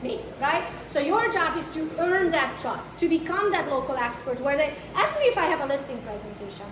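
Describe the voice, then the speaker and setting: Brash high-voiced accented woman trainer. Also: big pitch swings, brisk. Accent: foreign. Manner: brash, blunt, asks the room questions. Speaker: real estate marketing trainer. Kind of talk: live workshop talk to an audience. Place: training room with a live audience. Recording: echoey room, mic far off, noisy.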